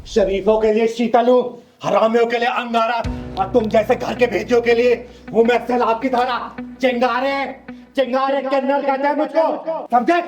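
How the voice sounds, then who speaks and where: High-pitched, fierce swaggering kinnar vigilante played by a man. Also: flat delivery, moderate pace, lo-fi sound, music in the background. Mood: defiant. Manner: boastful, threatening, declamatory rhymed lines. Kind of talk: melodramatic short-film acting.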